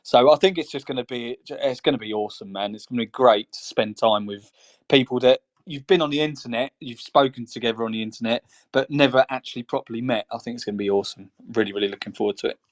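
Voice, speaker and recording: A man's voice: mid-range voice, quick pace. A man co-hosting a podcast in casual chat, talking in a rambling, chatty way and laughing between phrases. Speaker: co-host.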